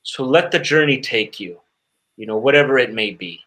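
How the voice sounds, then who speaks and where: A man answering interview questions as a guest magician, earnest and reflective, often pausing and repeating words as he searches for phrasing. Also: medium pitch, lively intonation, sharp tone, a little hiss or hum.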